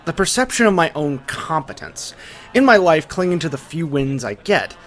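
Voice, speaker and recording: Male video essayist, slightly high voice, casual and conversational, scripted narration with music behind. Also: very animated, normal speed, fairly bright tone. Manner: casual, conversational, with colloquial asides.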